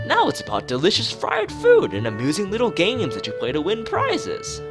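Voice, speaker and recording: A man voicing a loud, perky, proud schoolgirl from a visual novel in an exclaiming, sing-song manner. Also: high voice, wildly swooping pitch, moderate pace, voice pushed up, full character voice. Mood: proud, indignant. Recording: music playing behind the voice.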